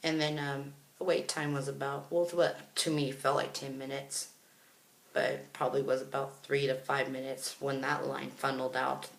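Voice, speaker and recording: Gravelly American man telling a story, roomy sound. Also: medium pitch, little pitch movement, moderate pace, fairly bright tone. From Kansas, United States. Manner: chatty, rambling, self-correcting. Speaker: vlogger. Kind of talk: casual storytelling vlog.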